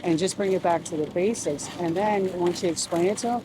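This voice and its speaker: A woman explains things step by step in a conversational interview, using plain examples. She is recorded outdoors, with clear urban and vehicle noise around her. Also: fairly low pitch, brisk. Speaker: city council member. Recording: noisy outdoor street with vehicles.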